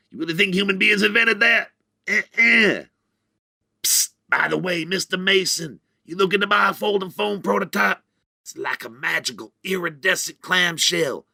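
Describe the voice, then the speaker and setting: A man playing a sleazy, fast-talking male hustler with brash salesman patter. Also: high-pitched, vocal fry, pushed and bright, dry recording, studio quality, heavily acted.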